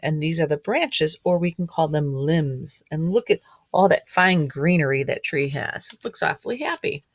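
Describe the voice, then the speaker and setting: A woman's voice: low-pitched, lively intonation, normal speed, no echo. A middle-aged woman, a teacher reading a picture book aloud to children and adding chatty, questioning asides. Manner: asks listeners frequent gentle questions, child-directed.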